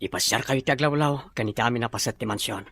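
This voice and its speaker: A man playing a wealthy young father in an Ilocano drama, speaking gently and persuasively to his family. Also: mid-range voice, quick pace, vocal fry, slightly rough. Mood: earnest. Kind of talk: Ilocano radio-style drama acting.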